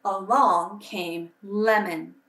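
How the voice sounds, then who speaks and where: A woman reading a children's story aloud expressively, with deliberate phrasing meant for young listeners. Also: medium pitch, steady tone, a bit bright. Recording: roomy echo, distant microphone.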